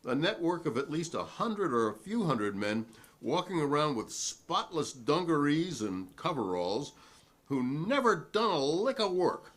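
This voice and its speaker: Man playing a defiant, animated witness. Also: fairly high pitch. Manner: long-winded, righteous, formal, at times sarcastic. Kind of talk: dramatic reading of a hearing transcript.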